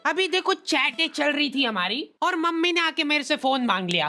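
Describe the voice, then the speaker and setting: A man's voice: very high voice, big pitch swings, moderate pace, creaky, bright, forceful tone, soft background music. A man narrating a comedy cartoon in a casual, brash, mock-serious storytelling style. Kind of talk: casual comic storytelling for animation.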